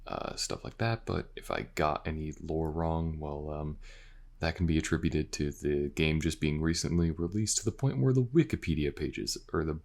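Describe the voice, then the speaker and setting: A man with an extremely low voice that has a creaky edge. He chats casually to his listeners as the creator signing off after a piece of audio. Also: normal speed, a little mellow. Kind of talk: casual outro chat to listeners.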